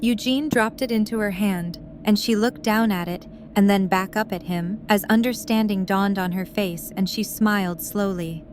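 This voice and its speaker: A woman's voice: mid-range voice, normal speed, few pauses, slight background noise, low-quality recording. A woman reading scripted third-person story narration for an audio drama.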